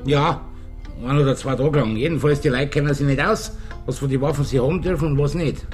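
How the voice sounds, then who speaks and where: An actor playing a dry, stubborn and suspicious local Bavarian detective in a radio drama. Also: male voice, mid-range voice, a little fast, soft background music, lightly acted. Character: sceptical, needling male local police detective.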